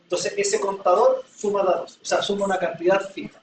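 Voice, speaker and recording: A man's voice: high voice, steady tone, fairly quick, far from the mic, a little hiss or hum. Man teaching in an echoey room. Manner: patient, explains with everyday examples, checks in with "¿ya?". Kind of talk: classroom lecture. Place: classroom.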